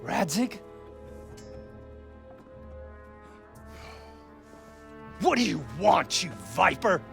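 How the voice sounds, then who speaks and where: Game role: proud, defiant medieval lord, husky and creaky, highly animated. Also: male voice, moderate pace, soft background music, full character voice. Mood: furious, defiant. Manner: forceful, impassioned. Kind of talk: dramatic video game acting.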